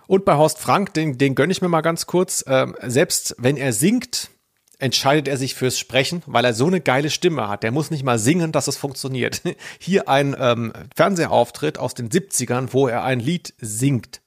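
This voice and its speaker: A man's voice: mid-range voice, moderate pace. A man co-hosting a podcast and talking casually about audio dramas. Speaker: podcast host.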